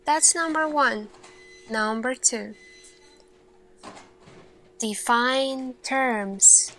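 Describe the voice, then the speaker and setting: A woman performing an ASMR sci-fi roleplay as an earnest, thoughtful lead scientist, speaking deliberately with pauses. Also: high-pitched, a bit bright. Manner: slow, deliberate, pausing, questioning delivery. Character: earnest, thoughtful female lead scientist addressing her team.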